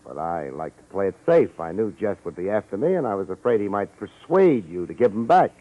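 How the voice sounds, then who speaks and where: Wisecracking American radio private eye. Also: male voice, on the low side, very animated, a little fast. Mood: sardonic, confident. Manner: wry, slangy, confident. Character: wisecracking, self-assured tough male private detective. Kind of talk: 1950s American radio detective acting. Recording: dull vintage radio recording.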